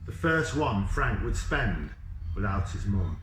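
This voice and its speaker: A man reads a children's story in measured, dramatic short phrases. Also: on the low side, sing-song, a bit bright, slight background noise. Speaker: author reading from a children's novel. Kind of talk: storybook narration. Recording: echoey room sound.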